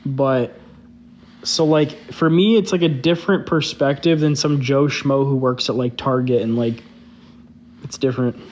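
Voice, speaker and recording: A man's voice: mid-range voice, fairly even intonation, unhurried, a little mellow, slight background noise. A young man chatting casually on a live stream, loose and candid, filling his talk with "like". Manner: loose and candid, heavy use of "like". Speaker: social media creator hosting a live stream.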